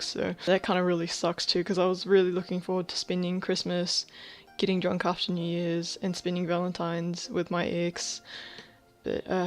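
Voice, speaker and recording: A woman in her twenties from Thailand, talking candidly in a personal video diary, rambling with lots of fillers and soft laughs. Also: lowish voice. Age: 20s. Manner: drops to a whisper about housemates. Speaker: vlogger.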